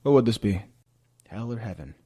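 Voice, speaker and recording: A man's voice: fairly low pitch, expressive, normal speed, dark tone, echoey room, natural voice. A man playing an anguished, lovesick court clown in a radio play, with a theatrical, poetic, brooding delivery. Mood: wistful, defeated.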